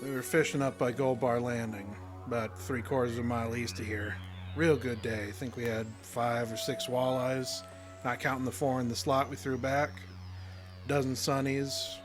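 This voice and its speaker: A man's voice: medium pitch, natural voice. An actor playing a guarded, plain-spoken fishing guide haunted by his past, talking in a folksy rural way in an audio drama. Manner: folksy, ungrammatical rural small-town talk.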